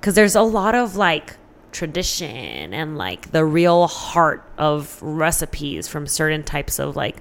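A woman's voice: low voice, expressive, slow pace. An American woman chatting casually as an interview guest, candid and informal, swearing freely as she tells personal stories.

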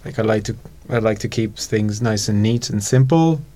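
A man's voice: fairly low pitch, moderate pace, dark tone. A man with a Hungarian accent, a guest expert on a casual podcast, thoughtful and laughing easily as he explains.

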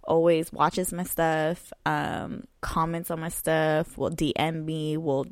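A young woman co-hosting a casual podcast, telling stories in an animated way, full of "like" and acted-out quotes. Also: low-pitched, slow, dry recording, clean studio sound. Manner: animated, lots of "like", acts out quotes. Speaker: podcast co-host. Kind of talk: casual storytelling chat.

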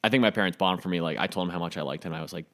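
Lower-voiced young man telling stories. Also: very fast, fairly bright tone. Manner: rambling, many fillers and restarts, dramatic. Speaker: podcast co-host. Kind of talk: casual storytelling chat.

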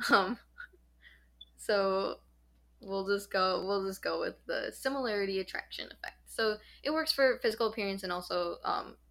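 A young woman in casual podcast chat, walking through study findings she has read. She talks at a middle pitch and fills her sentences with ums and likes as she explains. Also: a bit bright. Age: young adult. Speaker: podcast host.

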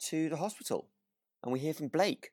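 A man's voice: on the high side, animated, fairly quick, a little mellow, no echo, clean studio sound. A British man co-hosting a podcast in casual chat, often rephrasing his thoughts mid-sentence. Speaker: podcast co-host. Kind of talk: casual chat, reviewing a radio drama.

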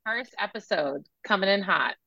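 A woman's voice: medium pitch, animated, moderate pace, gravelly, bright, forceful tone, no echo. A young woman co-hosting a podcast, chatting casually with a scratchy voice worn from teaching, laughing often and saying "like" a lot. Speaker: podcast co-host, spin instructor. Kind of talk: casual chat. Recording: dull lo-fi sound.

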